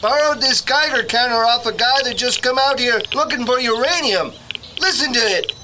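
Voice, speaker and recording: A man acting in a radio drama as an excitable, plain-spoken forest ranger with a folksy way of talking. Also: very high voice, moderate pace. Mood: urgent. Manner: folksy, calling out. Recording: noisy radio play recording.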